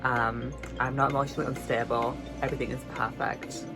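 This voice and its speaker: A young woman from the UK with a light, high, girly voice, talking casually in a vlog, chatty and self-mocking, stretching words for emphasis. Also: steady tone, fairly quick, over music. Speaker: vlogger.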